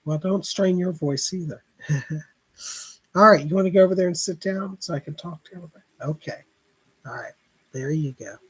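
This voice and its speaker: A man hosting a live psychic reading stream, hoarse from laryngitis, chatting casually and clearing his throat often. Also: fairly high pitch, moderate pace, low-quality recording. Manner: chatty, greets viewers by name, keeps clearing his throat.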